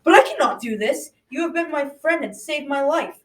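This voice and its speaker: Echoey, high-voiced girl as young quest hero. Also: animated, normal speed, fairly bright tone, recorded from a distance. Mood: distressed, resolute. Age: child around 12 to 14. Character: loyal, daring young hero Jack on a quest. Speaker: student actor. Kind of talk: school radio drama acting. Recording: echoey room, off the mic.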